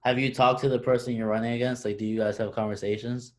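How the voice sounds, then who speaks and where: A man's voice: mid-range voice, flat delivery, quick pace. A man interviewing a guest, asking questions in a casual, somewhat hesitant way.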